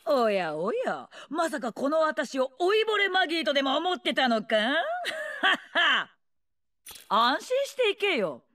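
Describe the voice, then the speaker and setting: An actress voicing a brash, bloodthirsty older female warlord in a video game, with rough slangy taunts, a snarling delivery and mocking, gleeful menace. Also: high voice, huge pitch swings, slow pace, bright, forceful tone, heavily acted. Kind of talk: video game character voice lines.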